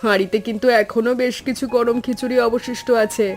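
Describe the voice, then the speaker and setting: Motherly housewife for animated tale, female actor: caring, earnest, steady intonation, faint music underneath. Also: fairly high pitch, fairly quick, natural voice. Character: gentle motherly village housewife, caring and selfless. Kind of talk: animated story dialogue.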